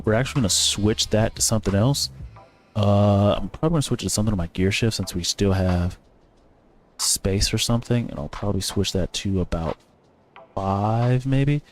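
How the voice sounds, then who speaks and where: Gaming streamer, male, lowish voice; loose thinking-aloud commentary over faint music. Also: a little mellow. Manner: rambling, thinking aloud, self-correcting. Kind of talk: casual gameplay commentary.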